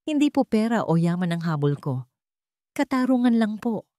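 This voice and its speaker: Narrator as a humble, wronged young wife. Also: female voice, mid-range voice, very animated, normal speed, dark tone, no room sound, clean studio sound, voice pushed up, lightly acted. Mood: earnest. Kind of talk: dramatic audio storybook.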